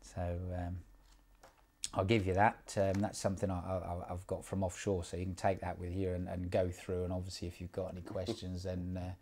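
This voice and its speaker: A man mentoring someone one-on-one in a casual, relaxed way, repeating words and using many fillers. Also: low voice, normal speed. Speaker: job placement mentor.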